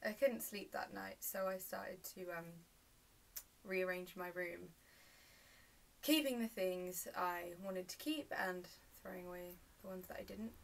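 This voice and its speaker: A woman performing a naturalistic, understated stage monologue as a narrator haltingly recalling painful memories. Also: fairly low pitch, vocal fry. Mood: quiet, reflective. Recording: roomy self-tape, mic at a distance.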